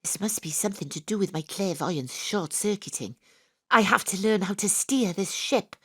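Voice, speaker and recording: An actress playing an eccentric female psychic sleuth, narrating in a deadpan, earnest way. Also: mid-range voice, moderate pace, breathy edge, no room sound, clean studio sound. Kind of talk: comic first-person narration. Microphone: home studio microphone.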